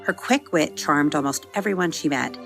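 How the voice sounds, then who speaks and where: A woman reading a scripted children's story as a podcast host, with measured, expressive storytelling. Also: low-pitched, creaky, faint music behind.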